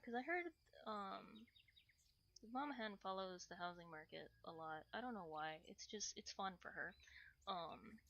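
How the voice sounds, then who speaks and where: A woman's voice: mid-range voice, fairly bright tone, muffled recording. A woman chatting casually on a livestream at home while doing chores, in a rambling, hesitant way with trailing phrases. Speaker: livestream host reading and answering chat. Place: small home bathroom.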